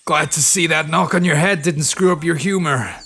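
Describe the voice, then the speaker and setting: A bright-edged, creaky male soldier acted by a man, battered but joking. He talks casually and teasingly, as in a military audio drama. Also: a little high, moderate pace, full character voice. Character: wry, banged-up male soldier joking with squadmates.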